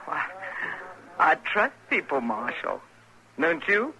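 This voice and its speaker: A woman's voice: fairly low pitch, wildly swooping pitch, slow, gravelly, pushed and bright, heavily acted. An actress playing a sly, greedy frontier saloon woman in an old-time radio western. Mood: brazen. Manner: sly, bargaining, dramatic delivery. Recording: noisy vintage radio drama recording.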